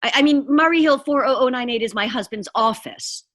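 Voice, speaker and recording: High-pitched, agitated demanding wife played by an actress. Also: female voice, fairly quick, a bit bright. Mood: fretful, self-pitying. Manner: agitated, insistent, stammering and sighing. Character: anxious, demanding bedridden wife, fretful and imperious. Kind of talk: radio play acting in the manner of 1940s suspense radio.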